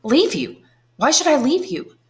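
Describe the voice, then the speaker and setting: A woman reading aloud, voicing a devoted young woman in a soft, pleading tone. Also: sits high, very animated, a little slow, voice pushed up.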